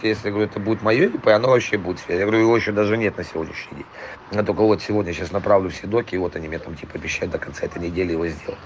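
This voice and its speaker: A hoarse man rambling profanely in a casual voice message to a client, full of fillers. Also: on the low side, a little hiss or hum, poor audio. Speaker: trailer import dealer.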